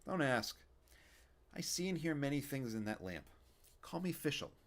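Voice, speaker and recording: A man's voice: mid-range voice, big pitch swings, moderate pace, pitched above the performer's own voice, lightly acted. A man voicing a grumbling old male lamp genie in a storybook read-aloud, wisecracking and short of breath. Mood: impatient. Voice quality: kvetching, wisecracking, sing-song questions. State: out of breath, tired.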